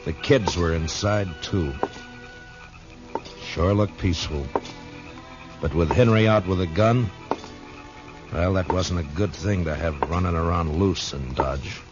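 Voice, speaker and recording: Stern marshal, very low pitch, terse, plain-spoken, vintage radio western, dull recording. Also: male voice, a little slow, pauses often, music in the background. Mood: grim, reflective. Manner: blunt, terse, level-headed. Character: stern, plain-spoken Old West marshal, male, steady and resolute. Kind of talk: 1950s radio drama acting and first-person narration.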